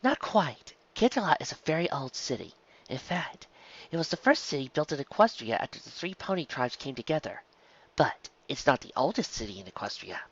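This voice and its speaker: A woman voicing a patient but gently stern female pony schoolteacher in a story, measured and instructive. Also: deep voice, very animated, husky, dull audio, exaggerated voice.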